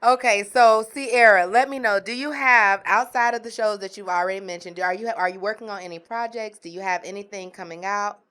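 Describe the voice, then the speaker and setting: A woman hosting a podcast, chatting in a lively, teasing and playful way. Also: medium pitch, a little fast, few pauses, smooth. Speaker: podcast host. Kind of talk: casual podcast chat.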